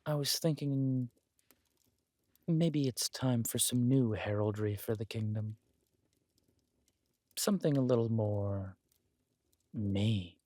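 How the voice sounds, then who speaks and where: A man playing a scheming, aristocratic villain husband in an intimate roleplay audio drama, suave and deliberate, slipping into breathy near-whispers. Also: medium pitch, mellow, dry recording, close to an everyday voice. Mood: sly, musing. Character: smooth, scheming villain husband, usurper of a kingdom.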